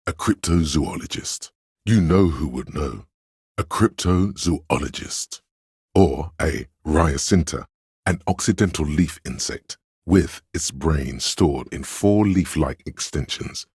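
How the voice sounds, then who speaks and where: A man voicing an encyclopedic inner voice in a video game, learned and solemn, narrating in a measured, erudite way. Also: very deep voice, darkish tone, husky, no echo, clean studio sound, full character voice. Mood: measured, scholarly. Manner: measured, erudite, declarative delivery.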